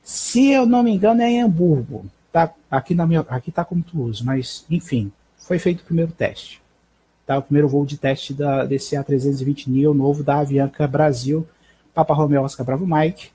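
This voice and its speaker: A Brazilian man in his thirties with a slightly high, husky voice and a soft, mellow tone. He presents a news roundup live from home on a headset mic, informally and haltingly, often checking in with short tags. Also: poor audio. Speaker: live stream host. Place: small bedroom at home. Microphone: headset microphone.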